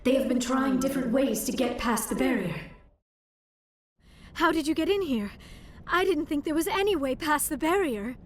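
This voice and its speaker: Earnest high-voiced alien scientist. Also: female voice, animated, normal speed, slight background noise. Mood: anxious. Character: earnest young female alien scientist, anxious and polite. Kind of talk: video game voice acting.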